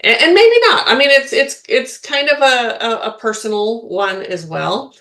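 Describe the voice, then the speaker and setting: A woman's voice: fairly high pitch, lively intonation, normal speed, fairly bright tone. A woman co-hosting a spiritual podcast, chatting casually, laughing often and restarting her sentences. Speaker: podcast co-host, spiritual medium.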